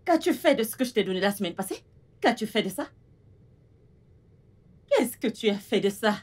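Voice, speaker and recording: Husky-voiced stern mother. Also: medium pitch, big pitch swings, normal speed. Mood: scolding. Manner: scolding, insistent, repeats demands. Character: stern, domineering mother of grown daughters. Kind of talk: dramatic film dialogue in French.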